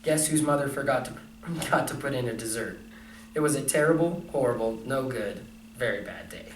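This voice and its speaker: A man reads a picture book aloud for children in a conversational storytelling style. His medium-pitched voice sits back from the microphone in an echoey room. There is faint noise around him. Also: recorded from a distance. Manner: conversational, pausing to comment and question listeners. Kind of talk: storybook read-aloud with casual asides.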